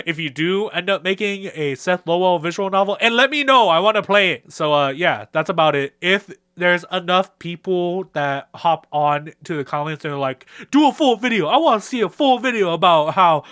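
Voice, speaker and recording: A young man with a very clear, fairly high voice rambles through casual fan commentary on a game. His delivery is excitable and full of slang, and he slips into character lines. The sound is dry and close, with a bright edge. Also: moderate pace, no echo. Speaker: video creator.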